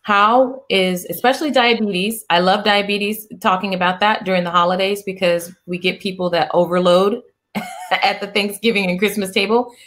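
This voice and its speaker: A middle-aged woman hosting an interview, talking in a soft, soothing way with many restarts. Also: medium pitch, fairly even intonation, normal speed. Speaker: interview host, psychiatrist. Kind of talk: casual interview chat.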